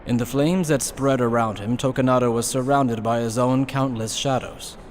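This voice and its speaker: A man's voice: medium pitch, fairly even intonation, normal speed, natural voice. A man narrating a scripted anime audio story as a sober storyteller. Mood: solemn. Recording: faint background noise.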